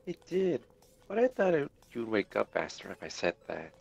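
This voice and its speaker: A nonchalant, teasing young woman from an anime story, acted out by a man. The pitch moves around a lot in this amateur reading, with soft music behind. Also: huge pitch swings, moderate pace, creaky, lo-fi sound. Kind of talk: amateur character reading of fan fiction. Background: background music.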